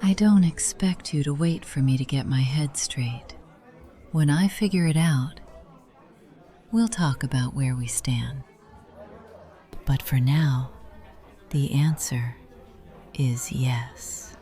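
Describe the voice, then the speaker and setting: A woman playing an affectionate, teasing yet cautious mature love interest in a visual novel, breathy and intimate. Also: very deep voice, takes it slowly, long pauses, mellow. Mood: tender, cautious. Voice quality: breathy, often whispery. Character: Nora, affectionate older aunt, teasing yet cautious lover.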